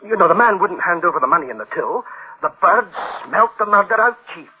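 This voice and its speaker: A man's voice: high-pitched, expressive, a little fast, husky, phone-quality sound, full character voice. An actor playing an eager, dismissive engineer in a radio drama, insistent and colloquial in his reassurances. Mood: upbeat, puzzled. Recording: band-limited old radio drama sound.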